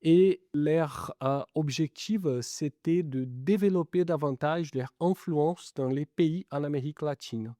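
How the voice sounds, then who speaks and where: A man with an Italian-Brazilian accent, speaking French as a second language, answers questions as a business school lecturer. His voice is mellow and dark, recorded dry and close, and he halts often on fillers while searching for words. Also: fairly high pitch, unhurried, hardly any pauses, no room sound. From Italy and Brazil. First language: not French. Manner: hesitant, many fillers. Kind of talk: interview answers.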